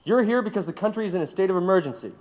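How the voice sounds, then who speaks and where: Stern g-man in an old-time radio drama, highish voice, very formal, echoey hall. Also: lively intonation, highs cut off. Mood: officious, controlled. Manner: formal, measured, officious. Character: stern, formal male federal agent interrogator, 1919. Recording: reverberant hall-like room.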